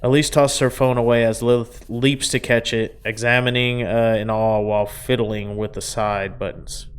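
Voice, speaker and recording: A man's voice: medium pitch, steady tone, normal speed, smooth. A man reading a visual novel aloud as narration, breaking off for casual commentary.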